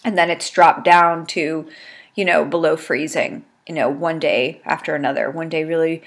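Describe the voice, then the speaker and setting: A woman's voice: low-pitched, steady tone, moderate pace. A woman in her thirties with a congested, stuffy voice, chatting casually to her webcam at home with lots of ums. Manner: chatty, rambling, many ums. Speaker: beauty vlogger. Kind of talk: casual chat to camera. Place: small room at home, indoors. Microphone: webcam at desk.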